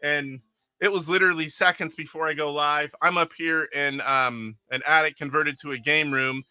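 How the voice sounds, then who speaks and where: A man's voice: on the high side, steady tone, moderate pace, pushed and bright, clean tone, no echo. A middle-aged man hosting a casual live stream from his attic game room, rambling and chuckling as he plays and reads viewer comments aloud. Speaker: retro game streamer host.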